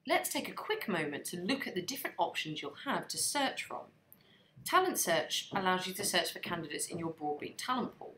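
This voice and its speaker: Lively woman narrating a software tutorial. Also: lowish voice, a little hiss or hum. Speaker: software trainer.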